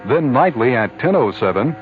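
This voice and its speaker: A man's voice: mid-range voice, very animated, normal speed, slight background noise. A man announcing a radio programme schedule as a scripted station promo. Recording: tinny broadcast under clear music.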